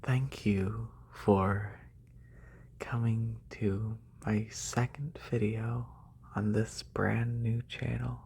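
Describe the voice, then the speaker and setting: Male ASMR creator, soft whispered delivery, formal and courteous, slow with gravelly fry. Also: lowish voice, level tone.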